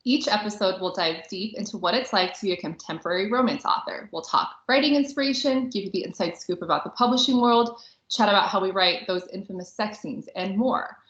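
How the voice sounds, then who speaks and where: A woman's voice: medium pitch, a little fast, fairly bright tone. A woman co-hosting a podcast as a romance author, talking in a casual, chatty style. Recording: roomy, echoey, slightly muffled podcast audio.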